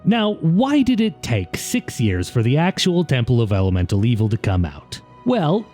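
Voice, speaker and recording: A man's voice: mid-range voice, huge pitch swings, normal speed, darkish tone, soft background music. A man reading scripted documentary narration as the narrator of a video essay.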